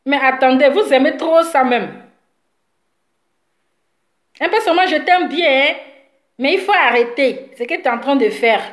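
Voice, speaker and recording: A high-voiced woman rants heatedly to her online audience, circling back to the same points over and over. She sounds a little congested from a cold, and her voice rings in an echoey room. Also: unhurried, long pauses. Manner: heated, repetitive, scolding. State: slight cold. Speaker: social media commentator. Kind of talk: live video rant to followers.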